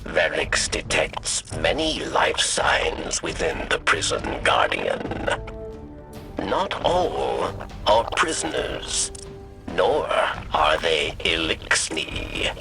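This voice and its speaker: An actor playing a servile, wary old insectoid alien prison warden in a video game, with a gravelly, halting, theatrical delivery. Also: male voice, rumbling low, wildly swooping pitch, music in the background, exaggerated voice. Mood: ominous. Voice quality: gravelly, croaking. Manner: halting, theatrical, refers to self in third person. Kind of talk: video game character acting.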